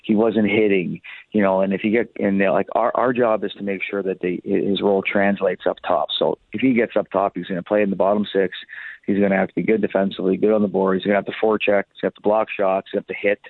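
A man speaking as a hockey head coach in a radio interview, explaining in a halting way and repeating words as he goes. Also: sits low, level tone, creaky, no room sound. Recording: phone-like thin band-limited line.

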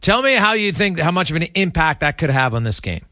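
A man with a bright, forceful voice, pitched a little high, hosts a sports interview. He asks his questions conversationally, and the sound is dry and close. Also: very animated, a little fast, dry recording. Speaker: sports radio host, interviewer.

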